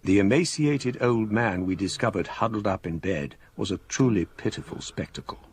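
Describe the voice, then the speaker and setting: A man acting as an erudite English gentleman, narrating a ghostly radio drama in a measured, formal, literary manner. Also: fairly low pitch, slightly rough. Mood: sombre. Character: erudite English gentleman recalling an eerie tale, measured and grave. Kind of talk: scripted first-person narration, radio drama. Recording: faint background noise.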